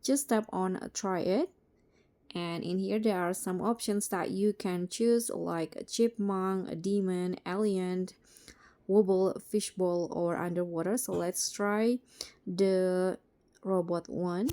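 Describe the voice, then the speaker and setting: Slow, mellow-voiced woman giving a tutorial. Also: on the low side, fairly even intonation. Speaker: tutorial host. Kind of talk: step-by-step screen tutorial narration.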